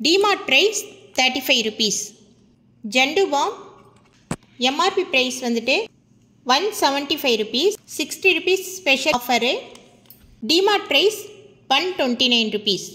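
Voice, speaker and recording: Female family vlogger, Tamil Indian accent, high-pitched and sharp, itemized haul narration, echoing room. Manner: addresses viewers as friends, itemized listing delivery. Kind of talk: shopping haul voice-over listing items and prices. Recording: long hollow reverberant room echo.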